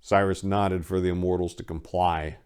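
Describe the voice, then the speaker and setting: A man's voice: sits low, level tone, moderate pace, darkish tone, smooth. A man reading his own story aloud as dramatic narration, in a grave, ominous, emphatic manner.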